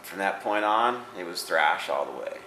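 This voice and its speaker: An American man from California, a bass player chatting casually in a band interview. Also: lively intonation, moderate pace, pushed and bright. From Bakersfield, California, USA. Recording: roomy echo, faint noise.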